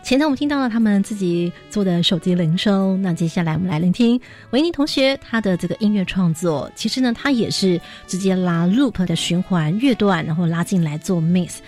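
A woman's voice: lowish voice, expressive, brisk, few pauses, a little mellow, faint music behind. A woman with a Taiwanese Mandarin accent hosting an educational radio interview, explaining things and addressing listeners directly. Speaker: radio host.

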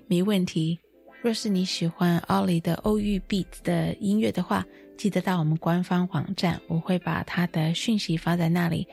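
A woman from Taiwan hosts a podcast in a chatty, lightly self-deprecating way, reading a prepared script. Her voice sits on the low side for a woman. Faint music plays beneath her. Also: darkish tone, breathy edge. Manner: chatty, self-deprecating, switches between English and Mandarin. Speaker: podcast host. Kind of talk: scripted bilingual podcast narration.

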